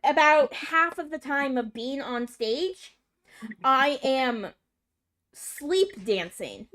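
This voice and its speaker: A woman's voice: high-pitched, expressive, takes it slowly, fairly bright tone. A young woman on a livestream, chatting casually and rambling with many fillers. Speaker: streamer. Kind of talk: casual chat.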